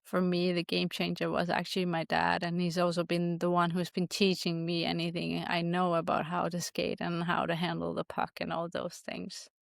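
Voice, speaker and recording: A woman's voice: on the low side, completely flat delivery, moderate pace, gravelly, few pauses, no room sound, clean studio sound. A woman from Denmark, a hockey player as a guest, answering interview questions thoughtfully with many restarts.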